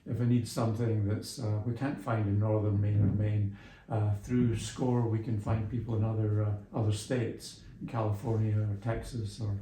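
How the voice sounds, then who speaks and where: A man's voice: lowish voice, little pitch movement, moderate pace, warm tone, slight background noise. A middle-aged or older man being interviewed, explaining things through anecdotes in a conversational, somewhat hesitant way. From Scotland and England. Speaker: interview guest, small business mentor and executive coach. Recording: roomy, echoing sound.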